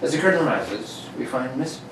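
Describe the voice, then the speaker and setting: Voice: medium pitch, animated, normal speed. A host narrating an old-time radio play in a theatrical, scripted announcer style. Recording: echoey room, distant mic, faint noise.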